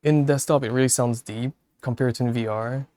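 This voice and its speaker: A man talks in an easygoing, rambling way, dropping curses and little chuckles between thoughts. His voice comes through smooth and clean at a middling pitch. He speaks into a cheap wireless headset mic set well away from his mouth. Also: brisk, no room sound. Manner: relaxed and rambling, swears freely, chuckles mid-sentence. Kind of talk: casual chat. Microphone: cheap wireless VR headset mic held far from the mouth.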